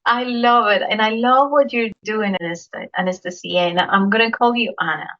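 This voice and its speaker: A woman hosting a podcast, reading a guest introduction deliberately and then asking questions. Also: a little high, fairly bright tone. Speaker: podcast host. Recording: dull lo-fi audio.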